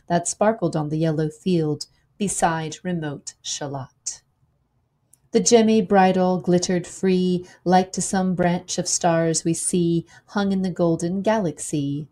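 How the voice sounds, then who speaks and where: Slow, dark-voiced woman reciting poetry. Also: lowish voice. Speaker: poetry reader.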